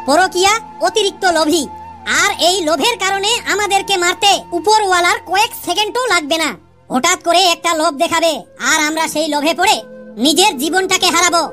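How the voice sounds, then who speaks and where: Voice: very high voice, fairly quick, sharp tone, soft background music. A performer voicing a cheeky cartoon schoolboy who tells stories to a teacher in a childlike, declamatory way. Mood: earnest, preachy. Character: cheeky schoolboy pupil telling husband-and-wife jokes to teacher. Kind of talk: Bengali comedy cartoon voice acting.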